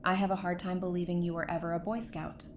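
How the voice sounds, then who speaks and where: A woman's voice: lowish voice, level tone, fast talker, close to an everyday voice. An actress playing a guarded, no-nonsense woman doctor in a post-apocalyptic audio drama, speaking in a firm, dry, matter-of-fact way. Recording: faint background noise.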